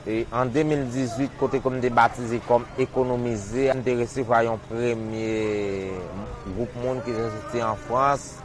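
A man, a business owner answering interview questions haltingly, with some repeated words. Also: mid-range voice, fairly even intonation.

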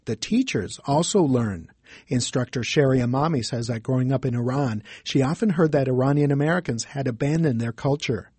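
Dark husky male news reporter. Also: medium pitch, fairly quick, no echo. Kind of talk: scripted news narration.

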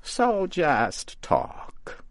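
A man voicing an old witch woman, gleeful and commanding, with a high, loud, drawn-out delivery. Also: wildly swooping pitch, dry recording, clean studio sound, exaggerated voice.

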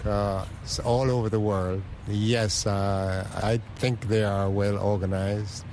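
Low-voiced man from Jamaica, earnest and deliberate. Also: flat delivery, darkish tone. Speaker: interviewed sports fan. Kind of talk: street interview, measured answers. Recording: outdoor urban background noise.

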